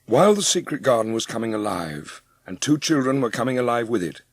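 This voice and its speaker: A man narrating a dramatised storybook, expressive and savouring his phrases. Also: medium pitch, fairly quick, no echo, clean studio sound. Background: music.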